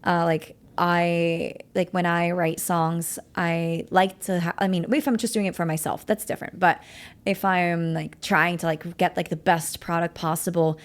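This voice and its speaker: A young woman who speaks English as a second language after German, hosting a casual interview about songwriting in a chatty, animated way. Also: lowish voice, normal speed. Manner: chatty, animated, often laughing. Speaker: podcast host.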